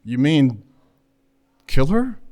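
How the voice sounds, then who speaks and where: A man playing a soft-hearted, reluctant accomplice in a noir radio play, earnest and anxious. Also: medium pitch, very animated, drawn-out words. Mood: anxious, reasoning.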